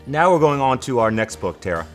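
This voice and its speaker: A man's voice: mid-range voice, very animated, a little fast, faint music behind. A man acting as host, putting interview questions to a guest and moving the program along.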